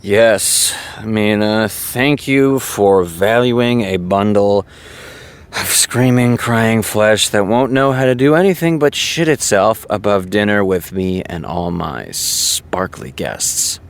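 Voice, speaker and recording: A man's voice: on the low side, expressive, slow, smooth. An actor playing a sarcastic, sleepy young man who hates mornings, in an audio drama. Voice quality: dry, sarcastic.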